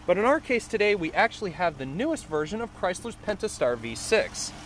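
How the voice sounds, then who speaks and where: A man's voice: high-pitched, a bit bright, slight background noise. A man giving an enthusiastic, detailed car review, now and then correcting himself mid-sentence, recorded in and around a car. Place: inside and around a car, outdoors.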